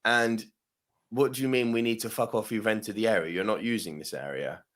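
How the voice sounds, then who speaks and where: A man's voice: lowish voice, fairly quick, fairly bright tone. A man co-hosting a podcast, telling a long story casually, swearing freely, laughing often and asking "yeah?" as he goes. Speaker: podcast co-host.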